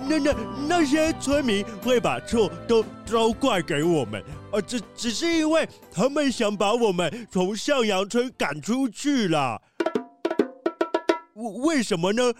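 A stammering high-voiced pangolin worker. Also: male voice, very animated, a little slow, exaggerated voice. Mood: nervous. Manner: stutters constantly, many fillers. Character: nervous stammering male pangolin factory worker. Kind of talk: children's radio drama. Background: background music.

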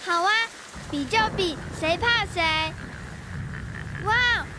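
An excitable little big sister. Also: female voice, very high voice, takes it slowly, heavy background noise, full character voice. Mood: excited. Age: child. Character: lively young girl, big sister. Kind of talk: children's radio drama.

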